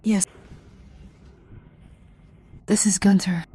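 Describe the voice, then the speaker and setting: An actress playing a bereaved mother in a radio play, guarded, weary and restrained, with a dark, breathy voice. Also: medium pitch, fairly even intonation, drawn-out words. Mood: grieving, restrained.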